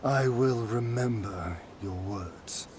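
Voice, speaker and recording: Slow, grave commanding lord. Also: male voice, lowish voice, background noise. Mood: grave, urgent. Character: grave, commanding lord leading his forces. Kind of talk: dramatic video game acting.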